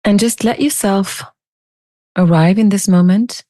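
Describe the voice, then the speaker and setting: A woman leading a guided meditation as a teacher, speaking in a soothing, unhurried, gently inviting way. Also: mid-range voice, dry recording, clean studio sound.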